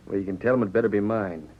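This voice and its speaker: An actor playing a bullying rancher villain in a 1940s radio western, swaggering in folksy cowboy talk. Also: male voice, fairly low pitch, lightly acted. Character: blustering, bullying male rancher villain of the Old West. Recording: muffled vintage 1940s radio recording.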